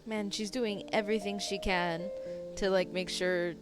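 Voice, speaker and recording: A woman's voice: mid-range voice, steady tone, unhurried. A woman streamer giving casual live reaction commentary on a video game. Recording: game music behind.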